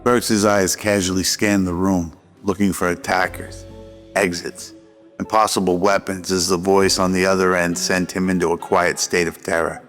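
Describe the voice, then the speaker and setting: A man's voice: low voice, little pitch movement, moderate pace, fairly bright tone. A man narrating a scripted noir radio drama. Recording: music behind the voice.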